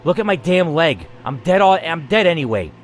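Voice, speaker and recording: A man's voice: fairly high pitch, very animated, moderate pace, faint music behind, pitched above the performer's own voice. A man voicing a dying, desperate male scientist who begs for mercy in a strained, pleading way.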